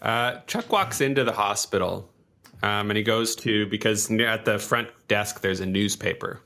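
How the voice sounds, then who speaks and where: A man's voice: on the low side, normal speed, pushed and bright. A man playing a tabletop game, casually improvising what his character does.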